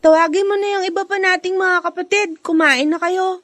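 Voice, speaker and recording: A woman's voice: extremely high pitch, fairly even intonation, brisk, fairly bright tone, no room sound. A woman playing a caring, dutiful elder sister of a poor family in a radio drama.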